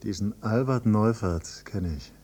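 Low, muted, bitter grieving son. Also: male voice, measured pace, dark tone, breathy edge. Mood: accusing. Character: grieving adult son, quietly bitter and probing. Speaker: first-person narrator and character. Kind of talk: literary radio drama monologue and dialogue. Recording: noisy hummy cassette copy of radio play.

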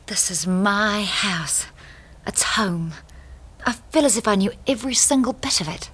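An actress playing an unpretentious, impressionable young wife in a radio drama, earnest and emotional in manner. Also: female voice, mid-range voice, lively intonation, a little slow, a bit bright, husky. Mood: frank wonder. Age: young adult. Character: impressionable, anxious young married woman, unpretentious.

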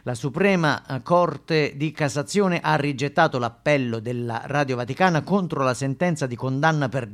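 A man's voice: a little high, natural voice. A man playing a formal TV news anchor, reading scripted reports in an announcer-like way for a radio play. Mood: excited, pleased.

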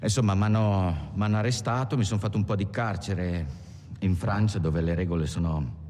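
An actor playing a world-weary career criminal, speaking in a halting, understated film monologue. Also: male voice, sits low, darkish tone, lightly acted. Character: world-weary male career criminal recalling arrests and prison. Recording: long hall reverb, faint background noise.

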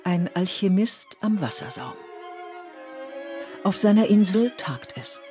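A woman playing the sober, deliberate narrator of a historical radio play. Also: medium pitch, animated, dark tone, soft background music. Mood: descriptive.

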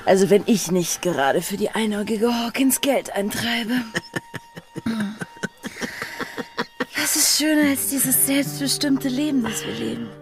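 An actress in a German radio drama playing a bold, freedom-hungry pirate's lover, speaking with earnest, intimate passion. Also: female voice, fairly high pitch, normal speed, lightly acted. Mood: dreamy. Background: music.

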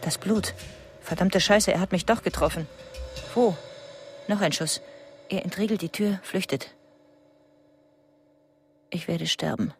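A woman's voice: lowish voice, unhurried, pauses often. An actress playing an anxious, fearful wife in a radio drama, narrating her night thoughts in clipped fragments. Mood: tense, fearful. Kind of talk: radio drama inner monologue.

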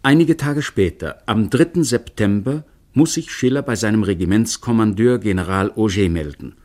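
A man giving scripted narration for a radio drama in a measured, matter-of-fact way. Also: lowish voice, a little mellow.

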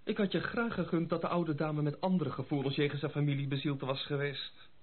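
Sensible husky gentleman, higher-pitched, played by a man. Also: steady tone, a little fast, natural voice. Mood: reasoning, sympathetic. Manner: reasoning, matter-of-fact. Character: sensible gentleman friend, loyal, pragmatic and measured. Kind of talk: radio drama acting. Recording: muffled lo-fi radio play recording.

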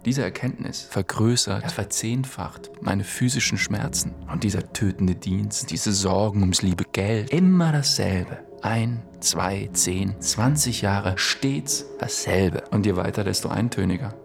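A man's voice: lowish voice, a little slow, vocal fry, few pauses, over music. A man in his forties playing a man who faces death, speaking a reflective, anguished monologue in an audio drama. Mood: bitter, anguished. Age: 40s. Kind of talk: theatrical audio drama monologue over music.

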